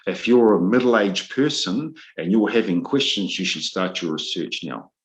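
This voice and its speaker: A middle-aged man with a New Zealand accent, a guest on a podcast, speaking earnestly and pausing with frequent ums and uhs. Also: deep voice, animated. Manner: earnest, searching for words with many ums and uhs. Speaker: guest, men's leadership mentor. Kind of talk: podcast interview.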